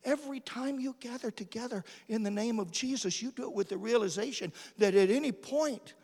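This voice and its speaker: High-voiced male preacher in a reverberant hall. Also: fairly quick, darkish tone. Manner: emphatic, dramatic, repeats phrases for effect. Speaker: missionary preacher. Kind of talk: storytelling sermon. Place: large church or hall. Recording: hall reverb from a large room.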